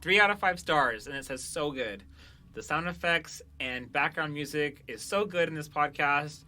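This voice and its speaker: A man's voice: fairly high pitch, moderate pace, pushed and bright. A man co-hosting a podcast in casual banter, speaking informally and laughing easily. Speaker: podcast host, show creator.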